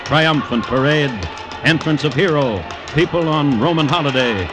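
A man narrates history like a classic radio showman, in short, theatrical phrases with a wry edge. Music plays clearly behind his voice on an old radio recording. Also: fairly high pitch, moderate pace. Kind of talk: dramatized radio history narration in mid-century newsreel manner.

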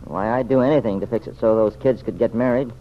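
A man's voice: medium pitch, fast talker, close to an everyday voice. An actor playing a plainspoken, loyal younger man with a blunt, folksy manner in an old-time radio serial. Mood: resigned. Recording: muffled old radio recording, faint noise.

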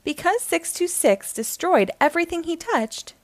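Animated woman reading a children's story. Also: extremely high pitch, normal speed, no room sound. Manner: animated, acting out dialogue. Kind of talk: expressive read-aloud storytelling.

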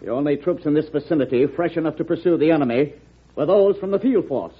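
Haughty, high-voiced army general. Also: male voice, quick pace, husky. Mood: coolly defiant. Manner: pompous, formal, coolly insolent. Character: haughty, stiff old-school army general. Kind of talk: vintage radio drama acting. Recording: dull vintage radio broadcast.

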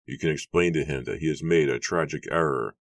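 A man's voice: extremely low pitch, a little fast, no echo, studio quality. A man voicing a desperate older husband and father in an audiobook, with trembling, pleading, emotional delivery. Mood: anxiously hopeful. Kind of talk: dramatic audiobook character voice.

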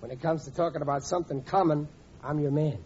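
A man playing a high-voiced, blustery radio sitcom dad. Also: fast talker, darkish tone, lightly acted. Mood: eager, wheedling. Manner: blustery, wheedling, uneducated grammar. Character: blustery, scheming, soft-hearted working-class family father. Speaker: sitcom lead actor. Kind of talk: 1940s radio comedy acting. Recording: muffled, noisy old radio recording.

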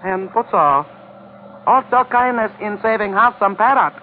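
A high-pitched, obsequious Chinese police officer delivers florid, formal courtesies with menace underneath. It is old-time radio drama with a Chinese accent, in a narrow, telephone-like sound with some noise. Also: male voice, lively intonation, normal speed. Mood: smooth flattery. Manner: florid, ceremonious, obsequious broken English. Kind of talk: 1940s radio acting. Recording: noisy, narrow-band old radio recording.

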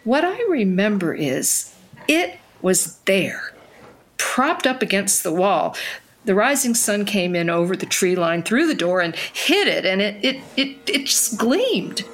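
An actress playing a wistful, wonderstruck woman who tells her memories conversationally in an audio drama, with halting repeats. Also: mid-range voice, sing-song, fairly bright tone, slight background noise. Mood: wistful wonder. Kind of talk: first-person storytelling in an audio drama.

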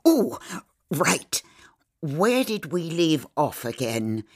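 An old lady narrator with a very deep voice. She is grumpy and theatrical, taking her time over every phrase of her tale in a comic audio drama. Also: big pitch swings, drawn-out words, darkish tone, full character voice. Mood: theatrical narrating.

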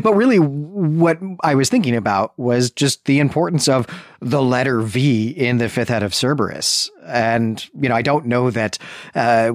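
Male co-host for literary podcast, middle register, analytical and deliberate yet conversational, tight dry sound. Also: mid-range voice, no echo. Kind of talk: literary discussion, casual chat.

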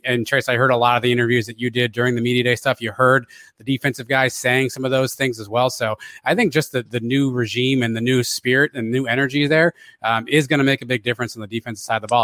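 A man with a raspy voice and an American accent, giving casual sports analysis as a podcast co-host. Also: medium pitch, fairly bright tone, no room sound.

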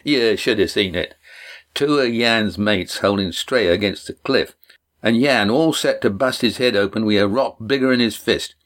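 A man voicing a cheerful young male sailor who tells a tale with rough country grammar. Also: lowish voice, normal speed, lightly acted. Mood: lively telling. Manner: cheerful, lively storytelling.

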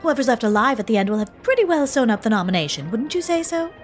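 High, animated young widow. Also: female voice, fast talker, faint music behind. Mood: suspicious, worried. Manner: expressive, chatty, theatrical. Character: chic, anxious, talkative young widow in comic mystery. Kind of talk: radio drama acting.

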